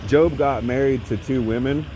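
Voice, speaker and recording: A man's voice: medium pitch, normal speed, background noise. A man giving a blunt, profane monologue, full of sarcastic asides. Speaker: vlogger.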